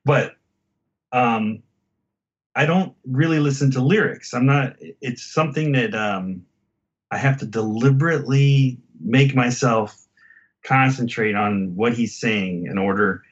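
A man's voice: mid-range voice, a little slow, highs cut off. A man in a casual album discussion as a podcast host, talking in a reflective, hesitant, conversational way.

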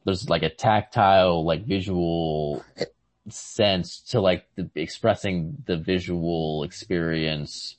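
A man co-hosts a casual literary discussion, phrasing his thoughts in a halting, tentative way. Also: deep voice, steady tone. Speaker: podcast co-host.

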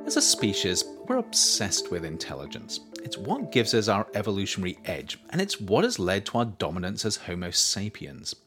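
A man reading his own scripted non-fiction as narrator, with measured, articulate, emphatic phrasing. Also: fairly low pitch, wildly swooping pitch, fairly bright tone, faint music behind. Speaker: author reading own book as audiobook podcast.

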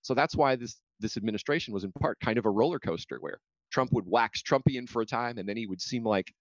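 A man's voice: mid-range voice, no room sound. An American man speaking as an interview guest in a political debate, arguing his points and often restarting as he rebuts. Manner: argumentative, halting restarts when rebutting. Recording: slightly dull, lo-fi audio.

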